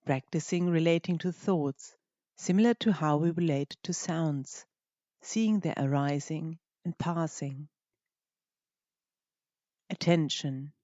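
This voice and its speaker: A meditation teacher gently guiding a practice in short, unhurried phrases with pauses between them. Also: mid-range voice, no echo, lo-fi sound. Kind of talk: guided meditation narration.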